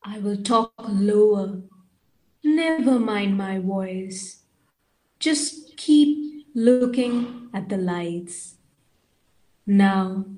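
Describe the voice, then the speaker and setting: A woman acting in an audio play as a probing, commanding mind prober who speaks in a deliberate, halting, instructing way. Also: medium pitch, a little mellow, rough recording. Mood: hypnotic, commanding.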